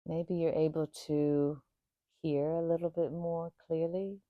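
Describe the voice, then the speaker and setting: Slow, low, mellow woman guiding meditation. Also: flat delivery, no room sound, narrow, tinny audio. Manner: soothing, unhurried, pausing between short phrases. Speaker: yoga nidra meditation guide. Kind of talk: guided meditation.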